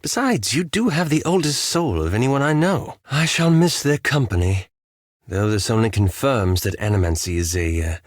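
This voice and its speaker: An actor playing a reserved, bookish adventurer in a video game, speaking formally and politely with cautious, apologetic hesitance. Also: male voice, mid-range voice, lively intonation, normal speed, no room sound, clean studio sound, lightly acted. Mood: wry, hesitant. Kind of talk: video game character dialogue.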